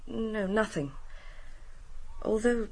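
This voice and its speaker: An actress playing a composed, genteel, guarded British widow in a radio drama. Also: female voice, medium pitch, lively intonation, slow pace, mellow. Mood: guarded, measured.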